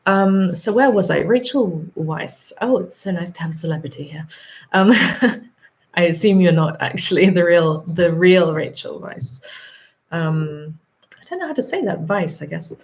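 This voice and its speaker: A woman in her thirties with a sore throat and a husky voice, hosting a live stream, chatting casually and rambling with frequent ums. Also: on the low side, animated, unhurried, lo-fi sound. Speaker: livestream host. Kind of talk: live chat reading viewer comments.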